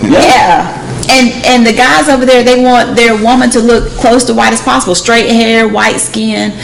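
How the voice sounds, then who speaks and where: A middle-pitched speaker who keeps pushing back on the others with skeptical points. The voice sounds roomy and echoey, with a faint background hiss. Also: normal speed, creaky, fairly bright tone. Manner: skeptical, interjecting. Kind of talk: casual discussion.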